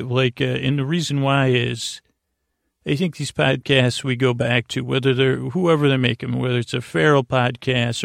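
Creaky, mumbling man rambling at bedtime. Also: medium pitch, dry recording, clean studio sound. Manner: mumbling, meandering, tangent-prone. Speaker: podcast host. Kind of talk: rambling bedtime podcast intro.

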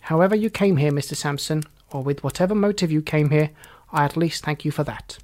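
A narrator acts out a smooth gentleman villain in an audiobook story. He is formal and emphatic, keeping a composed front over cold indignation. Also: mid-range voice, brisk, natural voice. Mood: smooth, aggrieved.

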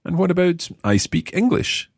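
A man's voice: high voice, no echo, studio quality. A man hosting a language lesson, explaining phrases patiently and quizzing his co-hosts. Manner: patient, lively, repeats phrases slowly. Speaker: language teacher and host.

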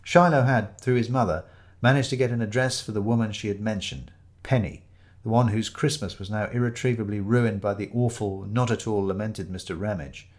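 A man's voice: fairly low pitch, darkish tone. A man reading a scripted first-person story as narrator, with wry, dry humour. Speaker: audiobook narrator.

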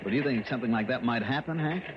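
An actor in an old-time radio drama playing a calm, skeptical American private investigator who asks measured, laconic questions. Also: male voice, mid-range voice, background noise, close to an everyday voice. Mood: wry, curious. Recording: muffled old radio recording.

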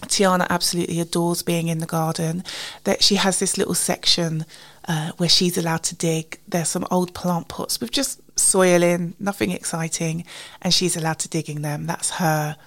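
Casting: female British podcast host, slightly low voice, a bit congested with a cold, informal and chatty delivery. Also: little pitch movement, moderate pace. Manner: informal, self-deprecating, recounts conversations in quoted dialogue. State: slightly husky and sniffly with a cold. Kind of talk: casual chatty solo podcast.

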